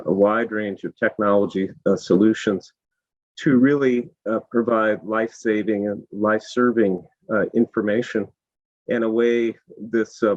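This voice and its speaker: A man with a slightly hoarse, low voice talks through his answer on a panel, pausing often on small fillers. The sound is dry and a little dull, as if from a modest online call. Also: no room sound. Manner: measured, many fillers. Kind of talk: panel discussion.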